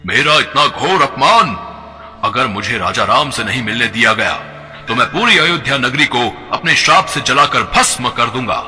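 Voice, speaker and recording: A man voicing a quick-tempered old sage in a devotional cartoon, speaking in an angry, commanding way. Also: mid-range voice, animated, bright, forceful tone, big-room sound, soft background music. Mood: furious.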